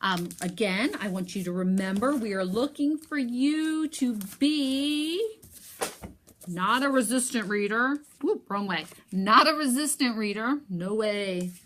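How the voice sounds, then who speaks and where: A woman teacher reading a picture book aloud and talking to young pupils, expressive, with emphatic pauses. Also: a little high, slight background noise.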